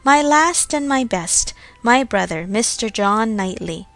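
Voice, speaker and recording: A self-assured young society lady from a classic novel, as a woman narrator reads her. She chats in a genteel, self-satisfied way. The voice is fairly high and recorded dry. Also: lively intonation, unhurried, fairly bright tone, no echo. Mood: proud, chatty.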